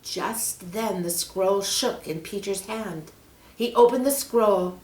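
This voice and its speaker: A woman's voice: mid-range voice, takes it slowly, a little mellow, distant mic. A woman reading a storybook aloud expressively, with asides addressed to young listeners. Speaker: children's story reader. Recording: roomy, echoing indoor sound.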